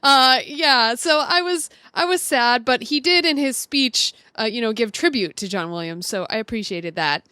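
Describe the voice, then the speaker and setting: Bright, high-voiced woman. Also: normal speed, hardly any pauses, clear, resonant tone, no echo, clean studio sound. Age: 30s. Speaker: podcast co-host. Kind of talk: casual chat.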